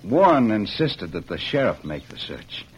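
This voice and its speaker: Plainspoken western ex-convict, young, folksy, earnest, radio drama, dull hissy recording. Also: male voice, lowish voice, big pitch swings, moderate pace. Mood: bitter, earnest. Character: embittered wronged young cowboy ex-convict, plainspoken, stubborn. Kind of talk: 1940s-50s radio western acting.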